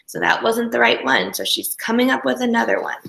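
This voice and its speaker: In an echoey room, a woman reads a picture book aloud for children. Her voice is fairly high and swoops expressively. She takes it slowly, dramatizing the characters and commenting as she goes. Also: wildly swooping pitch, gravelly, a bit bright. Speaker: children's story reader.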